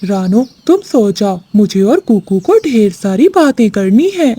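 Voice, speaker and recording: A woman's voice: mid-range voice, big pitch swings, unhurried, warm tone, slightly rough. A woman voicing a loving, eager father sparrow in a cartoon, with an affectionate manner.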